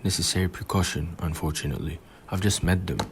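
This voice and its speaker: A man playing a courteous, grave older government minister with formal, measured speech in an audio drama. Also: low-pitched, fairly even intonation, close to an everyday voice. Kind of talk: amateur audio drama acting. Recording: faint background noise.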